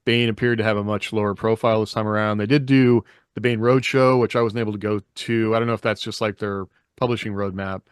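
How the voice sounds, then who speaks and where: A man's voice: lowish voice, fairly even intonation, quick pace, hardly any pauses, clean tone, no echo, studio quality. A man co-hosting a podcast in casual, conversational discussion. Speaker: podcast co-host.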